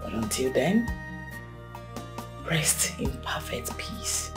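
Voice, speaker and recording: An actress playing a young bride-to-be who speaks earnestly and tenderly to a devoted friend, sometimes in a whisper. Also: female voice, extremely low pitch, sing-song, slow, over loud music, full character voice. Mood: mournful. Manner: earnest, solemn, partly whispered.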